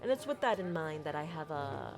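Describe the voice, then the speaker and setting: Flirty, low-voiced pirate captain played by a woman. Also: big pitch swings, creaky, exaggerated voice. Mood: coy, insistent. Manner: theatrical, flowery, sincere phrasing with deliberate pauses. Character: flirty silver-tongued female pirate captain, tender and grateful. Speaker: audio roleplay performer. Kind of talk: ASMR roleplay monologue, romantic storytelling. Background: background music.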